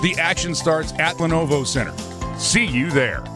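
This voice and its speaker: A man's voice: a little high, animated, moderate pace, over music. A man reading scripted promotional narration for a radio advertisement. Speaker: advertisement voice-over.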